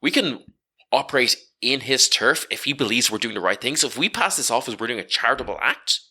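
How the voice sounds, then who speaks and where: Man playing Rowena, a lively, exasperated street hustler. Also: mid-range voice, fairly quick, slightly rough, pitched below the performer's own voice, heavily acted. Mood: persuasive. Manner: haggling, exasperated, stammering. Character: Rowena, exasperated street-smart female hustler of stolen goods. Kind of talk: improvised tabletop role-play.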